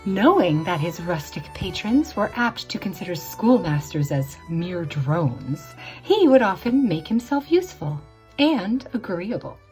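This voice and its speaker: A woman telling a spooky old tale as scripted narration, theatrical and deliberate, drawing out words for drama. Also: on the low side, very animated, darkish tone. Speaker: storyteller, narrator. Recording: music behind the voice. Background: instrumental music.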